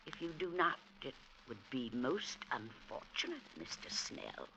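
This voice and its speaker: An actress playing an imperious, menacing old society matriarch with a haughty, theatrical manner in old-time radio drama style. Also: female voice, a bass voice, very animated, measured pace, sharp tone, full character voice. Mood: icy, threatening. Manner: haughty, theatrical, icily commanding. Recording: noisy old radio recording.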